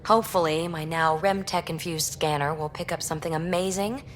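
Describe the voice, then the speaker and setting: A woman's voice: sits low, steady tone, a little fast, slight background noise, close to an everyday voice. A woman playing a guarded, restless young alien explorer in a video game, speaking in a clipped, wry and hesitantly confiding way. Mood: conflicted, guarded.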